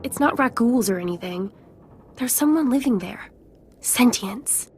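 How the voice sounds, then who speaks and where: An actress playing an earnest, conciliatory settler in a video game, polite and pleading. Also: female voice, on the high side, lively intonation, unhurried, a little mellow, slightly rough, soft background music, heavily acted.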